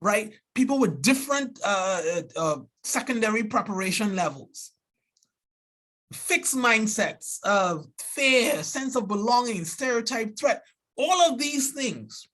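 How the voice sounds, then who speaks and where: A man in his forties from Trinidad and Tobago with a thick Trinidadian accent, giving an earnest, animated seminar talk as a biology professor, often restarting and tagging "right?". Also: high voice, a little slow.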